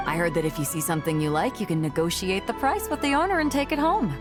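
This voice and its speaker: A woman voicing a bold, easygoing sea captain in an animated game, breezy, blunt and joking. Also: lowish voice, quick pace, over music, lightly acted. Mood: easygoing, amused.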